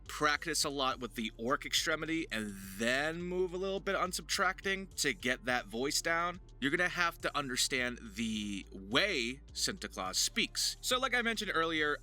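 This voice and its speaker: Male tutorial host, sharp and animated, jokey delivery, music behind. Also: fairly high pitch. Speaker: voice actor hosting a tutorial. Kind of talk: casual tutorial chat.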